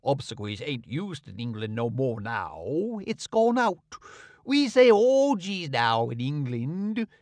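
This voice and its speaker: A man's voice: medium pitch, big pitch swings, takes it slowly, no echo, clean studio sound. A man doing a pompous old windbag con man. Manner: drawling, self-satisfied, long-winded. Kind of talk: audiobook character reading.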